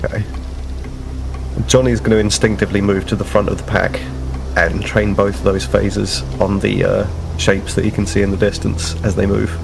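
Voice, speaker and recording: Male player, low and gravelly, noisy recording. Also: level tone, normal speed, over music. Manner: thoughtful, hesitant explaining. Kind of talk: casual tabletop play.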